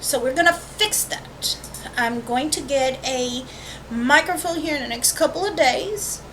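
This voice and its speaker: A high-pitched woman giving a casual, rambling vlog introduction as host of an art channel, recorded on a webcam microphone. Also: a little slow, fairly bright tone. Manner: rambling, informal asides and self-corrections. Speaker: host of a watercolor painting channel. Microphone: built-in webcam microphone. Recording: faint noise on a webcam mic.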